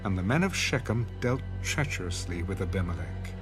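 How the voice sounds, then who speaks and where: A man narrating an audio Bible in a measured, formal scripted style. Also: low-pitched, lively intonation, music in the background.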